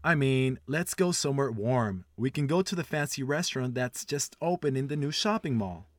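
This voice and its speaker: Friendly everyday man played by a male actor, medium pitch, polite textbook-dialogue delivery. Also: lively intonation, moderate pace, dry recording, studio quality, lightly acted. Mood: persuasive. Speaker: actor in listening test dialogues. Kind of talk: scripted language-test dialogue.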